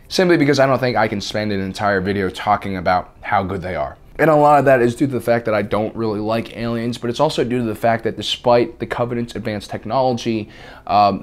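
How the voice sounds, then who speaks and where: Man, video essay host, slightly raspy, deadpan, mock-serious, mid pitch. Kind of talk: scripted video essay with deadpan in-character jokes.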